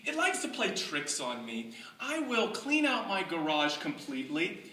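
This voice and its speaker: A middle-aged storyteller gives a humorous tall-tale speech with lively, swooping intonation. The delivery is slow and deliberate, playing the mock scholar with dramatic pauses. It is picked up from a distance in a roomy, echoing space. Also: medium pitch. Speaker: speaker giving a humorous club speech. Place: indoor room with live audience. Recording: echoey room from afar, faint noise.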